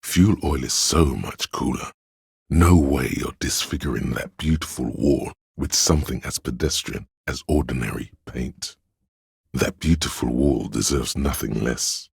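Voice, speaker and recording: A man voicing a wry, pretentious inner voice of artistic thought in a video game, narrating with measured, droll pomposity and a very deep, gravelly, husky delivery. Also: no echo, studio quality, full character voice. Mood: arty enthusiasm.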